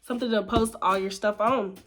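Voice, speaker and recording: A woman's voice: mid-range voice, steady tone, fairly quick. A young woman, sick and a bit nasal, chatting casually and playfully to her viewers in a vlog. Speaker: vlogger.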